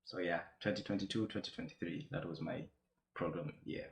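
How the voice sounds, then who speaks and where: Young man from Tanzania, very low voice, relaxed interview guest. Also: fairly quick, creaky, roomy sound. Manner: relaxed, chatty storytelling. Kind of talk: casual interview chat.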